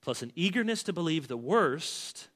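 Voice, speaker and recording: Middle-aged male pastor, bright and forceful, emphatic sermon delivery with sharp shifts in volume. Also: a little high, sing-song, normal speed. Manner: emphatic, with big swings in loudness. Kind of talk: expository sermon.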